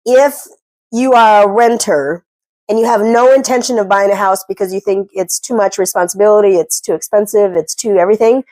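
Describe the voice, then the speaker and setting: A woman's voice: mid-range voice, fairly even intonation, fairly quick, darkish tone, no echo, poor audio. Congested woman giving earnest money advice. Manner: chatty, earnest, self-deprecating. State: congested from a cold. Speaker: personal finance vlogger. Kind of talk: casual talking-head advice. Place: at home.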